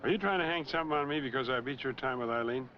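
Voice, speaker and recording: A man's voice: medium pitch, racing, a little hiss or hum, lightly acted. A man playing a cocky, defensive truck driver in a 1940s film noir. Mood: cocky, indignant. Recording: muffled 1940s film soundtrack, music behind.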